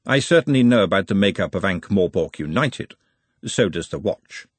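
A man acts out an urbane male tyrant from a fantasy storybook. He is formal, measured and dryly understated, with calculation under every phrase. The recording is dry and close. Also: sits low, expressive, dry recording. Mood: wry, unruffled. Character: urbane, wry, calculating male city ruler.

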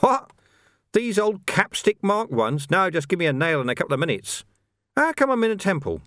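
A man voicing a gruff, impatient city watch commander in an audiobook, blunt and demanding. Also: sits high, sing-song, moderate pace, a bit bright, no room sound, studio quality, voice pushed up. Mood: indignant. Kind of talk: audiobook character dialogue.

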